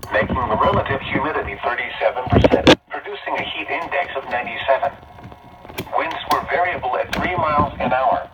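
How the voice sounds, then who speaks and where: Fast male weather announcer through a radio. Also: medium pitch, muffled recording. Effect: radio speaker. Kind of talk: formal weather observation bulletin. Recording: noisy weather radio speaker picked up by microphone.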